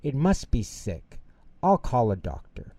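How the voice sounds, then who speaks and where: A bossy, blustering school principal, as a male storyteller acts him out. He commands and exclaims with a gravelly, swooping storybook voice. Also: medium pitch, big pitch swings, unhurried, mellow, no echo, voice pushed up.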